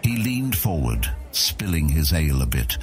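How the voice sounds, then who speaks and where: A man narrating a fantasy audiobook as a scripted story, with a gravelly, husky voice. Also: very deep voice, sing-song, normal speed, faint music behind.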